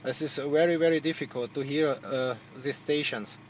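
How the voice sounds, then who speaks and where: A man from Germany with a German accent, chatting as a radio operator in halting, repetitive English, searching for words. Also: mid-range voice, slight background noise. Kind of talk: casual radio contact chat.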